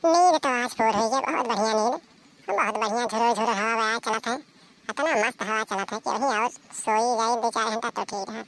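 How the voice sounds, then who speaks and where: A woman's voice: fairly high pitch, normal speed, fairly bright tone, rough recording. A woman playing a fat, lazy village wife in a cartoon comedy, talking in a rustic, exclamatory Awadhi dialect. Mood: contented.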